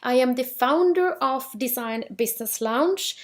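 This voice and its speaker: A woman with a Finnish accent talks through a business workshop in a clear, steady way. Her voice sits a little higher than most women's. She sounds like a presenter in her thirties. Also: a little slow. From Finland.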